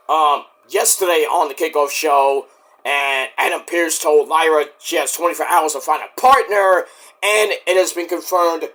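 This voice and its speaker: A man with a high, bright voice that is hoarse and worn. He rambles through a casual solo wrestling review, joking as he goes. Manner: rambling, jokey, with bursts of shouting.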